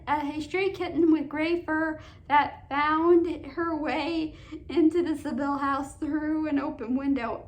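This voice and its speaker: A woman with a notably high voice hosts a casual commentary video. She moves between chatty remarks and read-aloud summaries. Her delivery is halting, with frequent stumbles and repeated words. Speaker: host of a cartoon countdown video.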